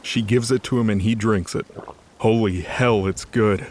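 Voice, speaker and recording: A man's voice: fairly low pitch, fairly even intonation, moderate pace, slight background noise. A man narrating a scripted story in a conversational, wry way.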